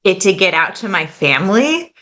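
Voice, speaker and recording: A woman's voice: mid-range voice, sing-song, moderate pace, sharp tone. A woman in her late twenties, a guest on a podcast, talking in a casual, chatty way full of "like" and "kind of". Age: late 20s. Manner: chatty, fillers like "like" and "kind of", laughs easily. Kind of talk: casual interview chat.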